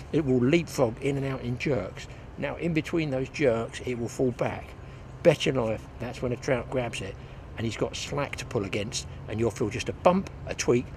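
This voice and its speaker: An older man talks through a fly fishing lesson on a lakeside bank in a chatty, hands-on way. His voice sits at an ordinary middle pitch. It is picked up on a clip-on mic, with clear wind and outdoor noise behind him. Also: a little mellow. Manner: chatty, hands-on, thinking aloud. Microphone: clip-on mic outdoors, some wind. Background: wind and outdoor ambience.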